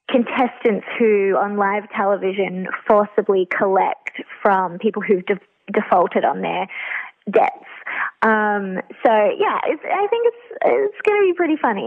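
A woman on a narrow, tinny phone line, chatting as an interview guest. Her talk is casual and informal, with a giggly streak. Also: mid-range voice. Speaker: interview guest, actor and writer.

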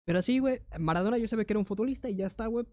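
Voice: medium pitch, very fast, darkish tone, slight background noise. A young adult with a Mexican accent in casual, slangy chat, rambling with lots of fillers and restarts. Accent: Mexican Spanish.

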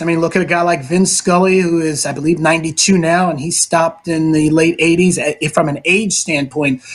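A man with a notably high voice gives long, earnest answers as an interview guest. He talks steadily and openly, pausing on fillers as he thinks. His delivery is that of a seasoned sports broadcaster. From New York City, Brooklyn. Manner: talkative, earnest, measured with filler pauses.

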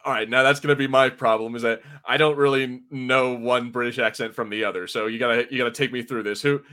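Bright-voiced American man, casual podcast co-host. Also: mid-range voice, steady tone, fast talker, clean tone. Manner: rambling, informal. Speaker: podcast co-host reviewing a TV episode. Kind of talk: casual chat, fan commentary.

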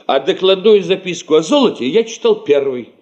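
An actor playing a principled, sceptical, cautious geologist who leads a field party in a Soviet radio drama, speaking formally and precisely. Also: male voice, sits high, expressive, fairly quick, darkish tone, highs cut off. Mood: scornful, firm.

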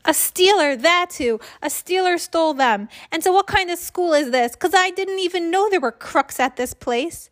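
A woman voicing an excitable, dramatic little schoolgirl in a children's storybook, with emphatic delivery and childish grammar. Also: high voice, moderate pace, pitched above the performer's own voice. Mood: upset, dramatic. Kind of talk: children's storybook read aloud.

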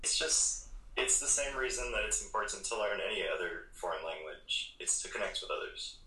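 An easygoing man in casual chat who stops and restarts his sentences as he thinks. His voice is very low yet bright and cutting. It sounds roomy and echoey, as if he is in a bare room. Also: animated. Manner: easygoing, halting, with frequent restarts and mild swearing. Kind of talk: casual conversation. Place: video call.

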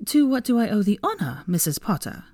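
A woman voicing an adult female teacher who is brisk and gleeful, with a high, emphatic delivery. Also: very animated, no room sound, clean studio sound. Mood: wary.

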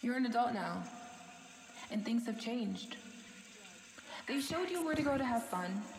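Slow, poetic woman narrator, faint noise. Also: a little high, animated, gravelly, poor audio. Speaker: poet and narrator. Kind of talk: poetic scripted audio drama narration.

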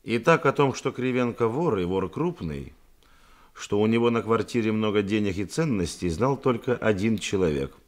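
An actor playing a shrewd, composed police detective in a radio drama, deliberate, commanding and dryly ironic. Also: male voice, lowish voice, lively intonation, lightly acted. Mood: methodical, pressing.

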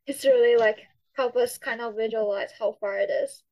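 A woman's voice: fairly high pitch, fairly even intonation, normal speed, a little mellow, poor audio. A young woman hosting a podcast, asking questions in a hesitant way full of fillers. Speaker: podcast host. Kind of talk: podcast interview.

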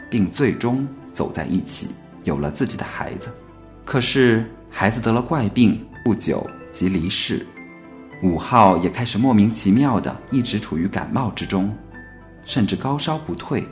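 A man narrates a radio play as scripted storytelling. His voice is fairly low, with very animated intonation, over faint music.

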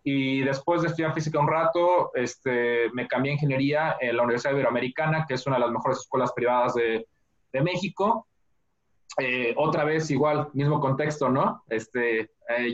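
A man from Mexico City with a Mexican accent, a guest telling his life story in a relaxed interview, full of filler words. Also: mid-range voice, fairly quick. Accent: Mexican Spanish. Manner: many fillers like "este" and "¿no?". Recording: dull lo-fi audio.

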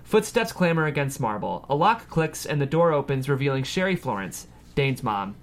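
A man's voice: mid-range voice, big pitch swings, moderate pace. A man reading screenplay stage directions aloud at a table read, with dramatic scripted narration.

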